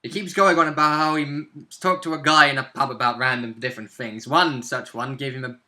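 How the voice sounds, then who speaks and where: Young man chatting, bright tone. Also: mid-range voice, fairly even intonation, fairly quick. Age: young adult. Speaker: co-host and player. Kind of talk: casual chat about a tabletop game. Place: small room at home, indoors.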